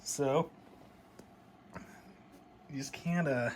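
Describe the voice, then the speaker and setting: A man's voice: medium pitch, extremely slow. A middle-aged American man chatting casually and nostalgically, in a rambling show-and-tell style. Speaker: hobbyist vlogger showing a CB radio.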